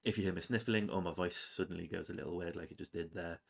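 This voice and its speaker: Man, deep voice, stuffy from a cold, rambling devlog narration. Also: quick pace, creaky. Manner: relaxed, rambling with many ums and uhs. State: has a cold, sniffly. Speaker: game developer. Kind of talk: casual devlog narration over gameplay.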